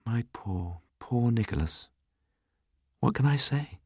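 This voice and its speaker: A man voicing a grave, tender young lady with a soft, hesitant way of speaking, in a storybook reading. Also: medium pitch, lively intonation, slow, breathy edge, dry recording, studio quality, voice pushed up. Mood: pitying.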